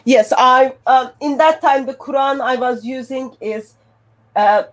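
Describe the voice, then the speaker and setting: A woman's voice: high-pitched, fairly even intonation, measured pace. A woman from Turkey with a Turkish accent, a native Turkish speaker, explaining her research method step by step. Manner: plain, step-by-step with fillers. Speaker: guest researcher.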